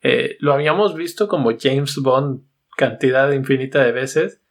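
Male podcast host, Mexican accent, mid-pitched, informal, halting film-review chat. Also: gravelly. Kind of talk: casual conversational film review.

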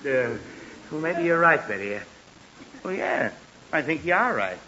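Exasperated suburban dad, highish swooping voice, flustered sputter, noisy vintage radio sound. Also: male voice, big pitch swings, moderate pace, bright, forceful tone. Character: exasperated, blustering middle-aged suburban father. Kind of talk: 1940s radio comedy acting. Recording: noisy, muffled old 1940s radio recording.

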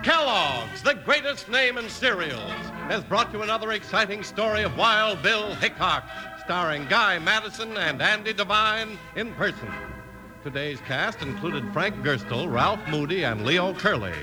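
A man's voice: sits high, sing-song, measured pace, poor audio. An American man announcing and reading sponsor spots in the style of 1950s radio. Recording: vintage radio broadcast. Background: music.

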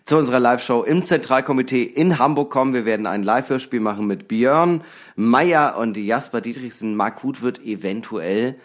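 A man co-hosting a comedy podcast, joking deadpan and hesitating with many fillers. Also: mid-range voice, normal speed. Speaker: podcast co-host.

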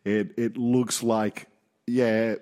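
A man's voice: on the low side, level tone, drawn-out words, darkish tone. A middle-aged Australian man co-hosting a podcast, chatting in a jokey, rambling way and repeating himself. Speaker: podcast co-host. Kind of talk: casual chat.

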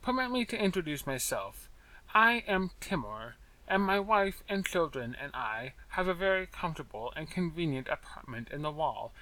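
A man voicing a brisk, impatient little male mouse in a storybook, with a small, clear, high-pitched voice and fussy, formal diction. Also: big pitch swings, bright, forceful tone, poor audio. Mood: formal, proud.

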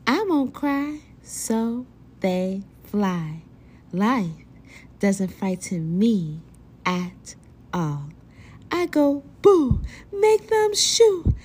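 A woman's voice: medium pitch, big pitch swings, drawn-out words, darkish tone, slight background noise. A woman educator reciting poetry for young children with theatrical, playful delivery.

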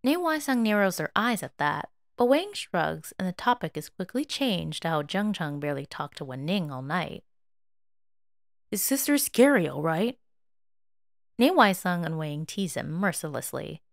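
Female narrator for audio fiction; lively melodic read, very dry recording. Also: fairly low pitch, normal speed, vocal fry, hardly any pauses, dry recording. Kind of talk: dramatic story narration.

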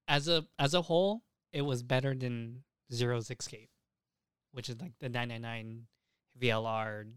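Podcast host, young male, clean dry sound, clear voice, excitable and profane, informal delivery. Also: medium pitch, dry recording. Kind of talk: casual podcast chat.

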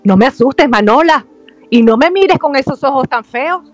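A woman's voice: sits high, expressive, normal speed, faint music behind. A woman playing a naive, melodramatic, lovesick young stepdaughter in a radio soap opera. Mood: wary, intrigued.

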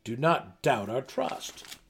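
A man voicing a stout, proud male deep gnome in a fantasy audiobook, gruff and booming, with formal, fierce speech. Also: sing-song, takes it slowly, a bit bright, full character voice. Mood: firm, proud.

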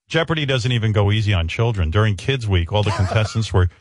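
A man's voice: on the low side, dry recording, studio quality. A male radio host in casual, profane banter, indignant and often laughing.

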